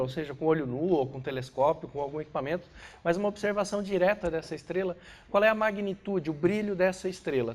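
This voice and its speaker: Congested Brazilian man lecturing, slightly high voice. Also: darkish tone. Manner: didactic, checks in with short tag questions. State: congested with a bad cold. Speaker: astrophysics lecturer. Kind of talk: spoken classroom lecture.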